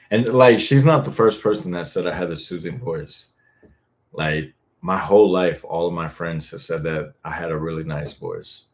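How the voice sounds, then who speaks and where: A young man hosting a live Q&A, chatting casually and frankly, reading viewer comments and swearing now and then. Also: low-pitched. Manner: laid-back, rambling, frank with casual swearing. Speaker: boyfriend-audio creator hosting a live Q&A.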